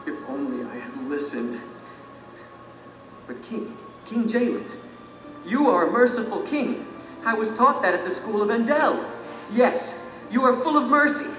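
Condemned citizen: very high, swooping, pleading, audio drama, echoey hall. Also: male voice, animated, unhurried, pauses often, a bit bright. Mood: desperate pleading. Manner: pleading, emotional. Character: anguished condemned citizen pleading before his king. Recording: echoey hall, distant, music underneath.

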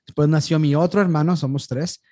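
A man, chatting as a podcast guest over a video call. His voice is mellow and dark, sitting a little high for a man. The sound is dry, and he pauses often with fillers as he thinks. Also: dry recording. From Mexico. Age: 30s. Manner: relaxed, thinking aloud with frequent fillers. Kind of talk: casual conversation.

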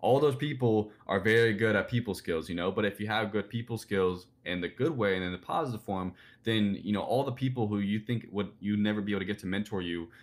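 A young man in his twenties answering interview questions about personal branding, rambling with lots of "like" and "you know". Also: lowish voice. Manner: fast, rambling, filler-heavy with "like" and "you know". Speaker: guest, personal branding speaker.

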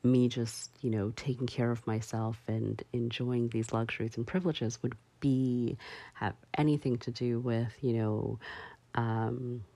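A woman hosting a solo talk show, speaking slowly and haltingly with many fillers. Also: a bass voice, level tone, gravelly, dark tone, no echo. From parents from Barbados. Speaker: podcast host.

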